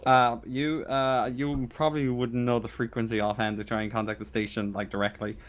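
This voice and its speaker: A man narrating a tabletop game as game master, halting and often repeating his phrases as he improvises. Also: mid-range voice, little pitch movement.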